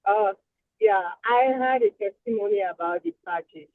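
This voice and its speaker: A woman from Cameroon, whose first languages are a local dialect and French, joins a group call. She speaks haltingly and often restarts her sentences. Her voice comes through a thin, phone-like line with a dry, close sound. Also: medium pitch, no echo. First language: a local Cameroonian dialect, then French. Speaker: caller on a group video call.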